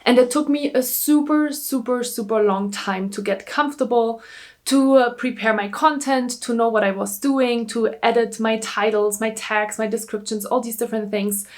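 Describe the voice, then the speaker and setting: A woman's voice: fairly high pitch, fairly even intonation, normal speed. A young German woman whose first language is German, chatting upbeat to camera in her vlog with a German accent, repeating words for emphasis. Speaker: YouTuber. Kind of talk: casual talking-to-camera vlog. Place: indoors, seated in front of a camera.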